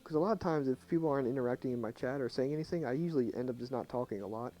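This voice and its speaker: Young man, low soft voice, mellow tone, quiet streamer chatter, faint game music under it. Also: racing. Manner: quiet and subdued, talking softly at night. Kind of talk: casual live game commentary.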